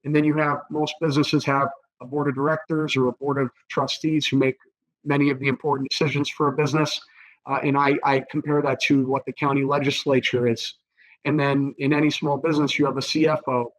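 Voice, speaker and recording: Hoarse thirty-year-old man. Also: medium pitch, little pitch movement, a little fast, rough recording. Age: 30s. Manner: earnest, repeats words with many fillers. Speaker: political candidate. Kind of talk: interview answers.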